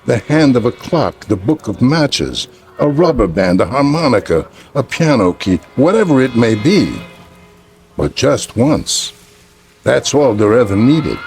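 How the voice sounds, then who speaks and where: Creaky, gentle old peddler. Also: male voice, medium pitch, sing-song, measured pace. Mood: cryptic, calm. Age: older. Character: gentle, cryptic old street peddler, quietly regretful. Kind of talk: vintage radio thriller acting.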